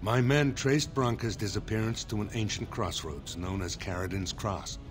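Dignified older dwarf lord, played by a male actor, lowish voice, measured formal delivery, fantasy game, strong music underneath. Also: lightly acted. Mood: grave, formal. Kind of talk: fantasy video game dialogue. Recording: game dialogue over prominent music. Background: loud background music.

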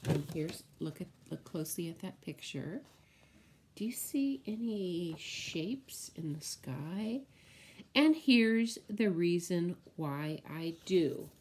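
A middle-aged woman reads a picture book to little children in a fairly low voice. Her delivery is very slow and deliberate, with words drawn out and simply explained, as a preschool teacher would at story time. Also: animated, a little mellow, a little hiss or hum. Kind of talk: picture-book read-aloud for small children. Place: indoors, room at home with window blinds.

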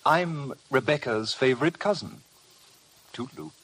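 Insolent cad, vintage radio play. Flippant, very animated delivery, faintly noisy recording. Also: male voice, medium pitch, a little slow. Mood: breezy, mocking. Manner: flippant, mocking, breezy. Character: cheeky, roguish gentleman cad, smugly insolent. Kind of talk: 1950s radio drama acting. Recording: vintage 1950 radio broadcast, faint noise.

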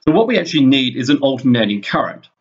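A man speaks at an average pitch on a dull, lo-fi recording. He sounds like a teacher giving an informal lesson at his computer. He thinks aloud as he goes, building the explanation haltingly, one step at a time. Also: very animated. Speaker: physics teacher. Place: indoors, screen-recorded voice-over at a computer.

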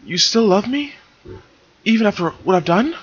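A man voicing a young female pony, emotional and fiery, in a high put-on voice with shrill rising outbursts. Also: animated, moderate pace, husky, muffled recording. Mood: tearful.

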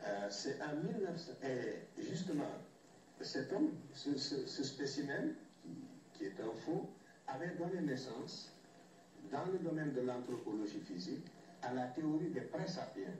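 A man's voice: mid-range voice, expressive, moderate pace, darkish tone, distant mic, slight background noise. Man in a film clip in an echoey hall. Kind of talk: lecture-like explanation.

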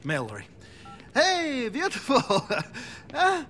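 Whiny, excitable small-business hustler for a game; forceful, very high voice. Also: male voice, slow, heavily acted. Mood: hesitant, sheepish. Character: frustrated, hard-luck immigrant cab-office boss. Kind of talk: video game voice acting.

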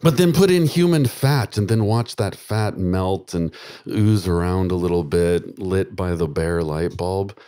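A man's voice: sits low, a little slow, smooth. A middle-aged man delivering a darkly comic, macabre stage monologue in a musing manner into a handheld microphone. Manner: musing, deadpan macabre, chuckling to himself. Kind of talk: dark comedy stage monologue. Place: small dark theatre, live audience. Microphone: handheld microphone close to mouth.

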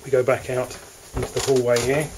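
A man with an English accent walks through the cabins of a moored motor yacht, narrating a sales tour in a relaxed, hesitant way. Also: mid-range voice, brisk, noisy background. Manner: relaxed, hesitant, with fillers and restarts. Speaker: yacht broker. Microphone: handheld camera mic.

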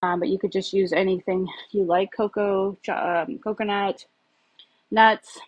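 Thirties woman vlogging in her kitchen, slightly breathy, loose and chatty with fillers, phone on the counter. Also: mid-range voice, steady tone, unhurried, lo-fi sound. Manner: chatty, rambling, many fillers. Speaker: home cook vlogger. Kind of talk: casual cooking demo. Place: home kitchen, indoors. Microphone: phone camera on the counter.

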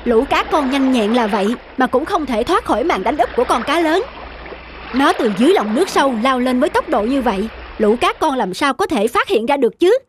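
A woman's voice: high-pitched, very animated, brisk, slight background noise. A woman voicing a curious, imaginative young male sailor in a cartoon, with an eager, wondering manner. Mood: amazed, eager.

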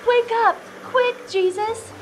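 A woman's voice: very high-pitched, fairly even intonation, slow, slight background noise, pitched above the performer's own voice. A woman voicing a panicked fisherman pleading for rescue in a children's storybook, shrieking and urgent. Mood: terrified, pleading. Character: panicked fishermen friends crying for rescue in a storm.

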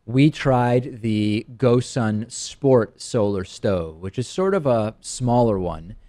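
A man's voice: medium pitch, animated, slow, warm tone, no room sound. A man in his thirties hosting a talk show in a studio, chatting enthusiastically about a gadget and teasing his co-host. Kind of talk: casual product review chat. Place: TV studio set. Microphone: studio mic on a stand.

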